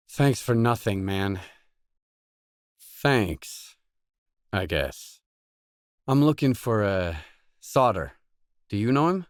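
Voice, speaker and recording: A man's voice: mid-range voice, no room sound, studio quality. A man acting a guarded, terse drifter in a video game, speaking in a matter-of-fact way. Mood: dismissive. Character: guarded, terse male drifter searching a dangerous zone. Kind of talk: video game dialogue lines.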